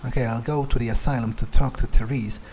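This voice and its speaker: A man's voice: mid-range voice, fairly even intonation, fast, darkish tone, a little hiss or hum. A man doing in-character game playthrough commentary, thinking aloud hesitantly and swearing bluntly. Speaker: gaming video creator.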